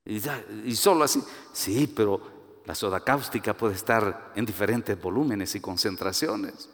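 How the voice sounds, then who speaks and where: A man's voice: fairly low pitch, moderate pace, in a large hall. A man from Guatemala with a Guatemalan Spanish accent, preaching a sermon with personal stories and acting out the voices he quotes. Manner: animated, rises to emphatic peaks, acts out quoted voices.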